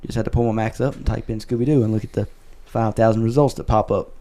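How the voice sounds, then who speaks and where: A man's voice: on the low side, steady tone, fast talker, warm tone. Man hosting a casual chat, losing his voice. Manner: rambling, self-correcting, wraps up the show. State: losing his voice from talking a lot. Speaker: podcast host.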